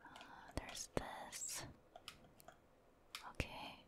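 Whispering woman gamer, hushed ASMR. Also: drawn-out words. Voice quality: soft unvoiced whisper. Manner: hesitant, casual musing aloud to herself. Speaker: ASMR gamer, video host. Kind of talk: ASMR whispered game commentary.

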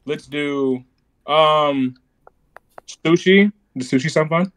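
A man's voice: a little high, takes it slowly, fairly bright tone, no room sound. A young man playing a hungry, easygoing boyfriend in a casual improvised game roleplay.

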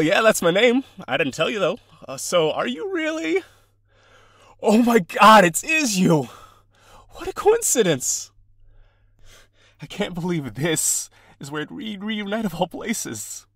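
Man acting a lively, sentimental young friend, creaky voice. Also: high voice, unhurried, pauses often, no echo, clean studio sound, heavily acted. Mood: surprised, excited. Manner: casual, expressive, hesitant. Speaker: voice actor in audio roleplay. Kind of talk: ASMR-style roleplay, one side of a conversation.

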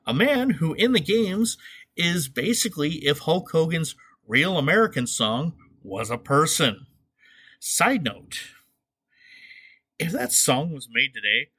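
A man's voice: fairly high pitch, sharp tone, breathy edge. A man hosting a comedic podcast, talking casually about movies in a sarcastic, irreverent way. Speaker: podcast host.

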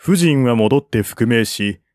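A man narrating a historical novel in a measured, formal reading style. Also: mid-range voice, animated, darkish tone, dry recording, studio quality. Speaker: audiobook narrator.

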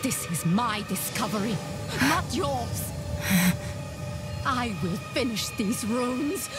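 A fairly high, slow voice plays a menacing female scholar in a dark fantasy game. She is obsessed and suspicious, mocking and possessive. Loud music swells behind her. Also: animated. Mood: paranoid, threatening. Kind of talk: dramatic video game cutscene acting.

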